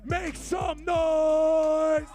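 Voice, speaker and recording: A man performing on stage at a live community event, hoarse from losing his voice, calling out to the crowd on a handheld mic between songs. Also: extremely high pitch, drawn-out words, shouting, over music. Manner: energetic, calls to the crowd. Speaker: performer hosting a stage set. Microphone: handheld microphone.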